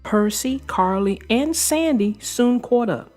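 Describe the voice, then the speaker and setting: Children's read-aloud narrator, woman, very lively intonation, unhurried, light music underneath. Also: on the high side. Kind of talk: expressive read-aloud storytelling.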